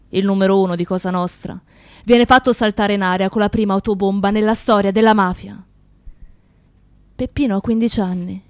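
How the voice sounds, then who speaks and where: An actress as a grave storyteller, narrating a radio drama in a measured, earnest way. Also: female voice, mid-range voice, darkish tone, no echo, lightly acted. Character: grave female storyteller of a Mafia tragedy. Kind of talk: dramatic third-person storytelling in radio theatre.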